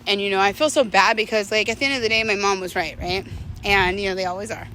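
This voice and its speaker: Female vlogger, bright and clear-cut tone, casual confessional delivery with slangy, reflective phrasing; faint vehicle-like noise behind. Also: medium pitch, fairly even intonation, fast, rough recording. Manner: candid, reflective, conversational slang. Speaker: vlogger telling personal stories to viewers.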